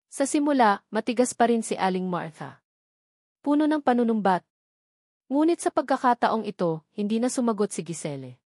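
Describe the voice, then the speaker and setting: A woman's voice: on the high side, very animated, normal speed, a little mellow, no echo, clean studio sound. A woman reading a scripted romantic drama as an audiobook narrator.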